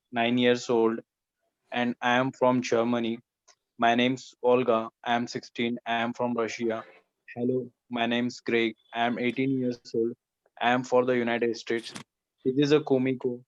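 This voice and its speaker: Monotone man reading, dry sound. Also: medium pitch, no room sound, lo-fi sound. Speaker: student. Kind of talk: reading aloud with errors.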